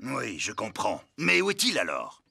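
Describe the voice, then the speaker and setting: A worried builder for a kids' cartoon. The voice is forceful and piercing, with a gravelly edge and lively swings in pitch. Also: male voice, normal speed, soft background music, full character voice. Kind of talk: children's cartoon dubbing.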